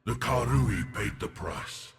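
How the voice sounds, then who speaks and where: Heavily gravelled proud warlord. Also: male voice, mid-range voice, slow pace, full character voice. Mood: proud, grim. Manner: solemn, portentous. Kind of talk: solemn first-person monologue for a game. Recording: prominent music under the voice. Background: music.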